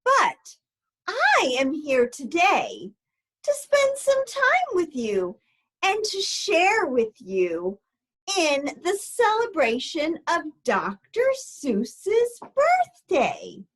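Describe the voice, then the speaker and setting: A woman presenting a storytime for children, speaking to them directly in a deliberate, theatrical way. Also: very high-pitched, huge pitch swings, fairly bright tone, rough recording. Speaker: guest storybook reader for children.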